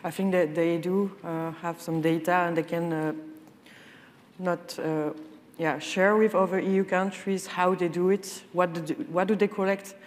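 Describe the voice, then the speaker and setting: A woman answering on a conference panel in a halting way, through a lapel mic. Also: on the low side, steady tone. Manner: halting, many fillers and restarts. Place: large conference hall stage. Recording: reverberant hall, faint ambience.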